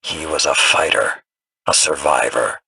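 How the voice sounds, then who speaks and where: An actor playing a stern, proud old immortal warlord in a video game, gravelly and raspy, speaking in a grave, formal way. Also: male voice, rumbling low, unhurried, sharp tone, heavily acted, poor audio. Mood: stern, disdainful. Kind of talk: scripted video game dialogue.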